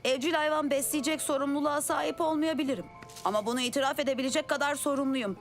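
A woman's voice: sits high, fairly even intonation, quick pace. An actress voicing a cocky, impulsive ten-year-old boy hero in a cartoon dub, with a brash, boyish manner. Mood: earnest. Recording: loud music under the voice.

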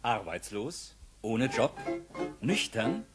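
An actor playing a salesy, emphatic announcer in a parody cartoon beer commercial. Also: male voice, mid-range voice, sing-song, takes it slowly. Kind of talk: parody advertising voice-over. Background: loud music.

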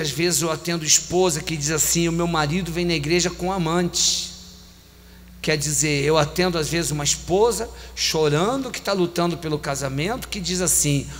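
Emphatic Brazilian preacher in echoing hall. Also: male voice, a little high, fairly bright tone. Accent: Brazilian Portuguese. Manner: repetitive emphatic preaching, slows for key phrases. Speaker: bishop preaching. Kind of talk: sermon. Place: large church hall. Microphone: handheld mic. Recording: long church hall reverb, faint hum.

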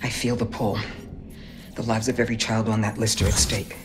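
An actress playing a stern, resolute middle-aged mentor in a cinematic game, speaking in a grave, commanding and measured way. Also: female voice, extremely low pitch, little pitch movement, over music.

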